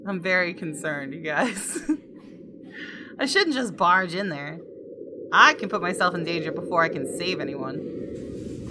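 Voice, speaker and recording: A woman's voice: lowish voice, background noise. A woman game streamer reading visual novel text aloud, dramatically and with playful outbursts. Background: game music with guitar.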